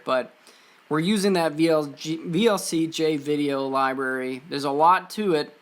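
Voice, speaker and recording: Man, slightly high voice, tutorial presenter, casual code walkthrough, informal, offhand, step-by-step explanations. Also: fairly bright tone. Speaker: presenter of an electronics and coding tutorial.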